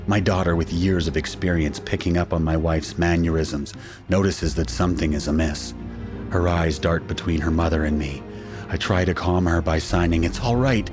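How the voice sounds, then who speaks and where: A man acts as an anguished father telling of his ordeal in scripted first-person audio drama narration. His voice is low and grave, with dramatic delivery over clear background music. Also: steady tone, moderate pace, natural voice. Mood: grave, tense.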